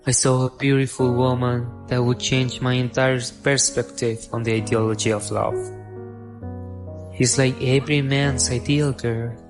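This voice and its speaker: Lovestruck young male lead, acted by a man for radio drama inner monologue; even delivery over guitar music. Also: mid-range voice, lightly acted. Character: lovestruck young man narrating his romantic thoughts. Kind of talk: student radio drama narration. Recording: music behind the voice. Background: plucked guitar music.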